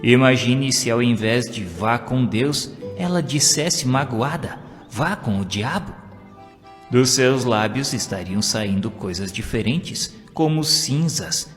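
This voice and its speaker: A Brazilian man narrates in a low voice with solemn, declamatory expression. His scripted devotional reading rings with long reverb, and plucked guitar music plays softly behind it.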